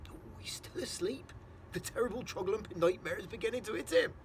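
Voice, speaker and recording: A man voicing a big friendly giant who speaks in hushed tones with muddled grammar. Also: sits high, animated, moderate pace, distant mic, background noise, voice pushed up.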